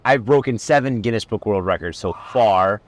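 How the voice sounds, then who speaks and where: A man from Ohio, a magician guest chatting casually in a car, relaxed and teasing. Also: fairly low pitch, moderate pace. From Cleveland, Ohio. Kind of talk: casual chat.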